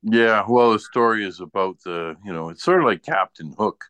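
A man's voice: on the low side, normal speed, fairly bright tone, no echo. A man talking casually and informally in conversation. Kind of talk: casual chat.

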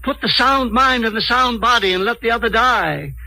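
An actor playing a fanatical, contemptuous old scientist with a gravelly, raspy voice and declamatory delivery, in old-time radio drama style. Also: male voice, very high-pitched, lively intonation, pushed and bright, exaggerated voice, low-quality recording. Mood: indignant. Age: older. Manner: declamatory, dramatic pauses, sudden muttering.

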